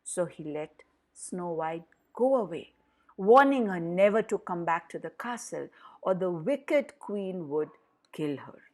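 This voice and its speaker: A woman storyteller narrates a children's fairy tale. She takes her time over each phrase with deliberate emphasis. Her pitch moves widely, giving the telling a dramatic, expressive shape.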